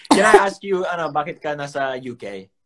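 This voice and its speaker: A man's voice: a little high, expressive, a little slow, fairly bright tone. A young man hosting a casual phone livestream, chatty and playful, mixing English and Tagalog. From United States. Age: young adult. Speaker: livestream host. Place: small room indoors. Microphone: phone at arm's length.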